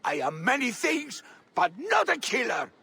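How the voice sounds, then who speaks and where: An excitable, scrappy little informant in a video game. His voice is extremely high and gravelly, swinging widely with theatrical, pleading melodrama. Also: unhurried, exaggerated voice. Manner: melodramatic, indignant.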